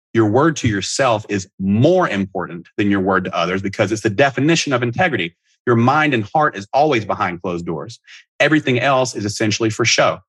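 A man in his thirties delivers scripted self-help advice like a podcast coach. His voice sits fairly low with a husky grain. He is earnest and instructive, stressing his points firmly, and the sound is very dry with no room around it. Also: a bit bright, dry recording. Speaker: podcast host and life coach.

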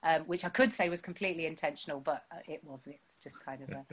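A woman's voice: low-pitched, steady tone, fast. A woman, chatting casually about writing her songs, with lots of fillers and self-deprecating humor. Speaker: songwriter guest. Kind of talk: casual chat. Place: home.

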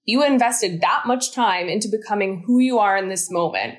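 Thirties woman, interview guest, earnest and encouraging, mid-pitched, talks in flowing explanations. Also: normal speed. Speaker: guest, founder of a mental fitness studio. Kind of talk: interview conversation.

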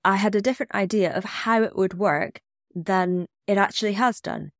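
A woman's voice: medium pitch, moderate pace, no echo, studio quality. A woman with a cold, sounding a bit snuffly, hosting her own podcast and reflecting candidly in a scripted solo talk. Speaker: podcast host.

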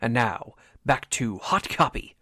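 A man's voice: mid-range voice, lively intonation, a little slow, sharp tone, no room sound, clean studio sound, faint music behind. A man narrating an old-time radio mystery as its announcer, in a scripted style.

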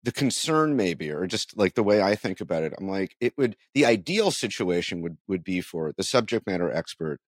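A man's voice: sits low, big pitch swings, normal speed, hardly any pauses, dry recording, clean studio sound. A man hosting an interview podcast in a conversational way, thinking aloud and rephrasing his questions as he goes.

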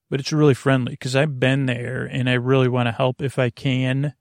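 A man's voice: mid-range voice, gravelly, no room sound, clean studio sound. A man hosting a bedtime podcast, rambling in a goofy, meandering way with many fillers and restarts. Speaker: podcast host.

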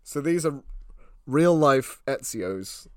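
Guy cohost bantering, swears loads, teases his mates, kinda lively pitch, close dry sound. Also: slow, dry recording. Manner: sweary, teasing. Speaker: podcast co-host. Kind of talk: casual banter.

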